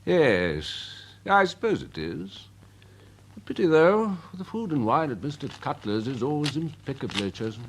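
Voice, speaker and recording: An actor playing a pompous, snobbish older Victorian major with an upper-class English accent, fussy and blustering, in a radio drama. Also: male voice, medium pitch, very animated, moderate pace, a little hiss or hum. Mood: smug, fussy. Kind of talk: British radio drama acting.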